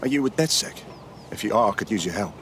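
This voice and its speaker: A man's voice: fairly low pitch, animated, fast talker, a bit bright, a little hiss or hum. A man playing an earnest, hard-up ordinary Londoner in a video game, with a British accent. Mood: worried.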